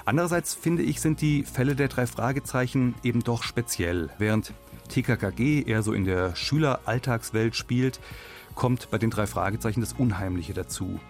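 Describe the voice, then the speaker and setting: A man giving explanatory radio commentary in an analytical, measured manner. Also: medium pitch, faint music behind.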